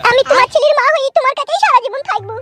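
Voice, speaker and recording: A devoted runaway wife from a village cartoon, extremely high and very bright. She coos and insists at a breakneck pace over music. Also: rapid-fire. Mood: devoted, insistent. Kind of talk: comic village cartoon dubbing. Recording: music under the voice.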